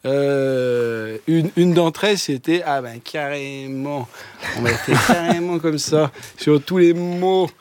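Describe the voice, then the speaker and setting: A man's voice: fairly high pitch, takes it slowly, a little mellow, no room sound. A man who is a guest on a radio show, speaking in a laid-back, rambling way. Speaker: guest actor. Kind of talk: radio interview.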